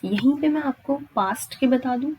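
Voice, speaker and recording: A woman's voice: high voice, moderate pace, mellow, slight background noise. An Indian woman teaching grammar step by step, repeating points and answering her own questions with frequent check-in tags. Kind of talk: exam-prep lesson at a board, explaining step by step.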